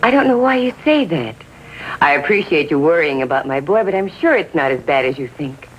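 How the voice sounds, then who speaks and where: Defensive American mother, middle-aged, husky, very animated intonation, genteel, 1950s TV drama. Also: low-pitched, fairly quick, low-quality recording. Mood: politely defensive. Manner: earnest, insistent, politely defensive. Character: defensive, trusting, genteel mother of a teenage boy.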